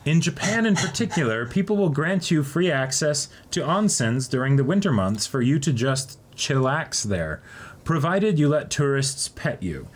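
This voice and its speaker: A man co-hosting a casual unboxing video, chatting and reading aloud in a halting, conversational way. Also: on the high side, very animated. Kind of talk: casual banter, some reading aloud from a letter. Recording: noisy room, background ambience.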